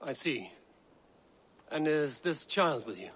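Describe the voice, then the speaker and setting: A man playing a calm, confident Viking clan leader in a video game, measured and dry. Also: fairly high pitch, a little hiss or hum, exaggerated voice. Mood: guarded. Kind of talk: video game character acting.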